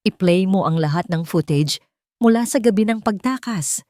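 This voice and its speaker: A woman's voice: mid-range voice, normal speed, warm tone, no room sound, clean studio sound, lightly acted. A woman voicing a cold, commanding male mafia boss in a narrated story, terse and controlled.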